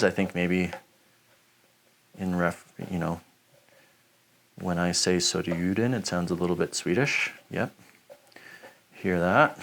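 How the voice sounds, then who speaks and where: Man, lowish voice, laid-back rambling review talk, faint background hiss. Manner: relaxed and chatty, rambling with many fillers. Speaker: knife shop reviewer. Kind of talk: casual product review while chopping.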